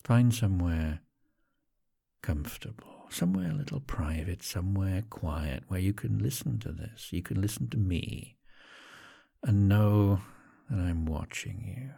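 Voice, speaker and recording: A man speaking softly and teasingly to the listener in an intimate monologue, often dropping to a whisper and chuckling between phrases. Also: low-pitched, animated, measured pace, vocal fry, slightly rough, no echo, studio quality.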